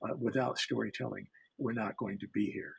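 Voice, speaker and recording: A man with a gravelly, husky voice speaks on a panel as a storyteller. He is reflective and measured, leaving deliberate pauses between his phrases. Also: lowish voice, fairly even intonation.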